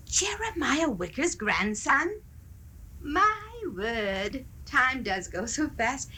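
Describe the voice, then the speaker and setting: Crotchety older woman, played by an actress, high lively pitch, scolding and sharp-tongued, vintage radio play. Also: slow pace, slightly rough, full character voice. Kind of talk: 1970s amateur radio drama acting.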